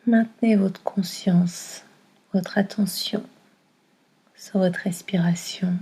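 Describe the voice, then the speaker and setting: A woman leads a guided relaxation very slowly and calmly. Her voice is soft and mellow, with an even, barely moving melody. She soothes as a yoga nidra guide, giving gentle instructions. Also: medium pitch. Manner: calm, soothing, with soft breathy delivery. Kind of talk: guided meditation.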